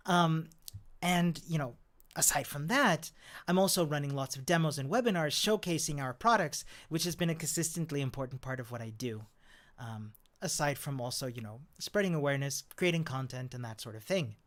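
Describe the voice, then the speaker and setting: A man's voice: on the high side, lively intonation, a little fast, a bit bright. Upbeat Chilean-born man chatting with laughs. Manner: upbeat, chatty, laughs often, many fillers. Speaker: developer advocate. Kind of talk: casual talk to camera.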